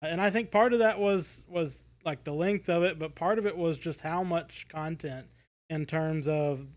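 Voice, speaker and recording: Higher-pitched man chatting casually on a podcast. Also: normal speed. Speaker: podcast co-host. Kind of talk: casual review chat.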